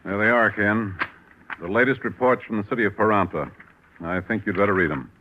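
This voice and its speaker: An actor playing a brisk, worried intelligence bureau chief who speaks in an urgent, businesslike way, in a 1950s radio drama. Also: male voice, fairly low pitch, background noise, close to an everyday voice. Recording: dull old radio recording.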